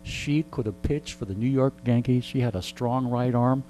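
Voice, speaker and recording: An older man tells animated anecdotes in a casual interview, repeating phrases and often checking in with a short "okay?". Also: mid-range voice, moderate pace, faint music behind, poor audio. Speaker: radio veteran being interviewed. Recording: clear background noise with hum.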